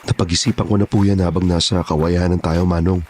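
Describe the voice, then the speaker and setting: A man's voice: low voice, husky. A man playing a frightened, pleading young man in his twenties in a horror audio drama. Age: young adult. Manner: pleading, respectful, whiny. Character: frightened, pleading 26-year-old man hunted by monsters.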